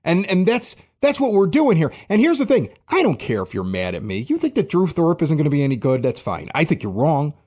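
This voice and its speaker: Fired-up man ranting, lively high voice. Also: fairly quick, hardly any pauses. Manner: fired up, defensive, emphatic. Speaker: podcast host. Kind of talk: heated podcast rant.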